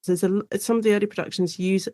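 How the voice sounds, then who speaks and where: A woman speaking as an academic guest in an informal interview, explaining things in an animated way and acting out short quotes. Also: medium pitch, rapid-fire, no echo. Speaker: guest academic, Shakespeare and radio drama specialist.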